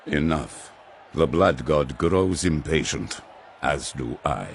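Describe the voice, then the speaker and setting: A man playing a cold, battle-hungry superhuman warrior champion in an audio drama, speaking slowly and threateningly in a deep gravelly voice. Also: a little hiss or hum, exaggerated voice. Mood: cold threat.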